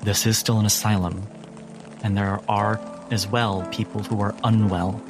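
A man working as game master, narrating a tabletop roleplaying game in a measured, deliberate way with dramatic pauses. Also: fairly low pitch, flat delivery, over music.

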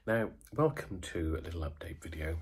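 Hushed, bunged-up man. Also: deep voice, animated, a little fast, breathy edge. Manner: speaks softly, hushed, many ums. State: bunged up with a cold. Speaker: vlogger. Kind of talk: casual vlog update. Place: quiet hotel room.

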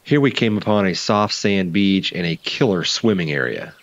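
A man's voice: deep voice, very animated, moderate pace, lo-fi sound. A man narrating his overlanding and camping trip in a casual, upbeat way with dry humor. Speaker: overlanding vlogger.

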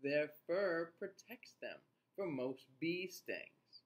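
A man in his forties reading a picture book aloud to young children in an expressive, teacher-like way, with playful asides. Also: fairly high pitch, slow. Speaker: children's read-aloud host. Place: small indoor room.

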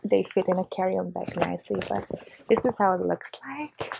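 A woman in her thirties from Hungary with a Hungarian accent, chatting excitedly through a casual unboxing vlog on a lapel mic in her living room. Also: medium pitch, normal speed, gravelly, background noise. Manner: chatty, excitable, with short laughs. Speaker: vlogger. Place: living room on a leather couch, indoors.

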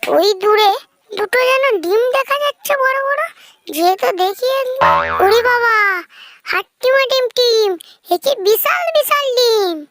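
A performer voicing a mischievous, always hungry trickster fox with a childlike, squeaky, singsong delivery in a children's fairy-tale cartoon. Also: unhurried, a bit bright, heavily acted. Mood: eager, amazed. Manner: singsong, repeats a nonsense rhyming catchphrase.

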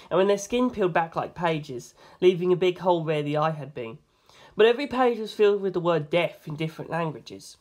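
A reader narrating a novel aloud in the first person, expressive and informal. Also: medium pitch. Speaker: amateur audiobook reader.

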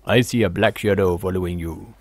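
A gravelly seer croaks a dark warning in a put-on accent, as a man voices him. The voice is loud and dry. It belongs to a video game. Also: low-pitched, fairly even intonation, fairly quick, no room sound, pitched below the performer's own voice. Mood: ominous.

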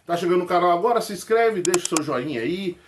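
A man's voice: sits high, quick pace, a little hiss or hum. A man in his forties, a comedian from Rio de Janeiro, chatting to camera and answering viewer questions in a small room. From Rio de Janeiro, Brazil. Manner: animated, jokey, laughs often, slangy. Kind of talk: casual chat to camera. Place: small indoor room with toy shelves. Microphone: webcam close to face.

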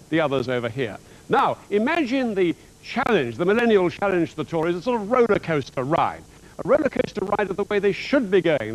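A man's voice: high voice, low-quality recording. Emphatic older male election analyst. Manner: emphatic, theatrical explaining, walking through a virtual set. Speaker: election analyst presenting graphics. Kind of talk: animated broadcast analysis. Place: television studio.

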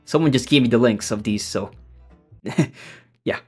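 A man doing casual, deadpan narration as the host of a meme tier-list video. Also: medium pitch, normal speed, breathy edge, soft background music.

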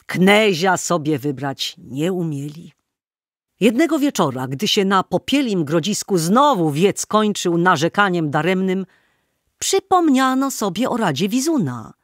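Animated woman narrator, close and dry. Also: on the low side, a little slow, dry recording. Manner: dramatic, literary storytelling. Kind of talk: scripted story narration for a radio drama.

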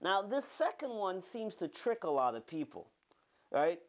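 A man in his thirties, a pastor delivering an animated online sermon from a studio, repeating words for emphasis. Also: high-pitched, a little slow. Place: studio with green-screen backdrop.

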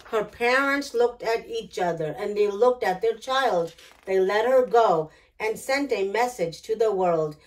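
A middle-aged woman hosting storytime, reading a picture book aloud in an expressive, deliberate way. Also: mid-range voice, poor audio. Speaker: children's storytime host. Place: small decorated indoor storytime set.

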